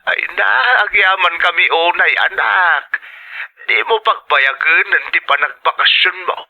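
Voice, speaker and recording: Brief: muffled phone-call audio, grateful, loving older dad, slightly high male voice, drama. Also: unhurried. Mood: fond, grateful. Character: affectionate, grateful older father of a grown daughter. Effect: telephone filter. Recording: dull, muffled telephone-like sound.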